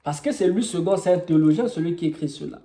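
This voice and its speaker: Young Congolese man, French with Congolese accent, Kituba native speaker, warm voice, informal teaching with rhetorical questions. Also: on the high side, quick pace. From Congo-Brazzaville. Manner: explanatory, with rhetorical questions and many fillers.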